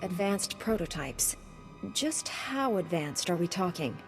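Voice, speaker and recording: An actress playing a calm, resolute female knight hero in a video game. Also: lowish voice, a little slow. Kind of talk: dramatic video game voice acting. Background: music behind the voice.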